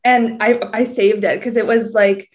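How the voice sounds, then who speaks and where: Young Canadian woman guest, higher voice, candid and chatty. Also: fairly even intonation, measured pace. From Canada, British Columbia. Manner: candid, laughing, chatty storyteller. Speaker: podcast guest. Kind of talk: casual chat.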